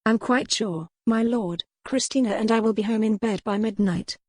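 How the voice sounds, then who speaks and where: A woman's voice: a little high, normal speed, darkish tone, dry recording, clean studio sound, voice pushed up, close to an everyday voice. A woman voicing a spirited, poised young lady in a historical romance audiobook. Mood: firm, polite.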